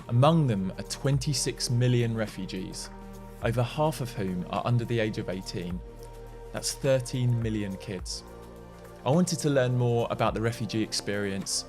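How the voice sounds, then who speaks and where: A man hosting a vlog, narrating a scripted intro and asking gentle interview questions. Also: fairly low pitch. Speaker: vlogger host and interviewer.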